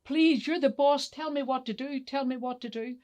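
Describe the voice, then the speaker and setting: A woman's voice: high voice, moderate pace, darkish tone, voice pushed up, close to an everyday voice. A woman voicing a fretful male chief elf who pleads and repeats words in alarm, in a children's story. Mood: pleading, panicked.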